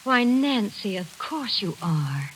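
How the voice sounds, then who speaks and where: A woman's voice: medium pitch, big pitch swings, slow pace, low-quality recording. An actress playing a bitter, domineering middle-aged mother in theatrical, overbearing 1940s radio drama style. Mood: insistent, coaxing. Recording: hissy 1940s radio broadcast.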